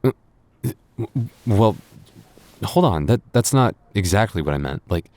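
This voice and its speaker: Man playing a smitten, flustered young man, low voice. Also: expressive, unhurried, darkish tone, no room sound. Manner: stammering, hesitant, breathy sighs and shy chuckles. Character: smitten, flustered younger brother of listener's best friend, playfully submissive. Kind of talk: boyfriend ASMR roleplay acting.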